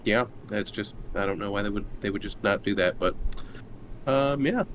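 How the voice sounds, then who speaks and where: A man's voice: on the low side, moderate pace, fairly bright tone, a little hiss or hum. A man who plays on a tabletop roleplaying show, bantering casually in a dry, joking way.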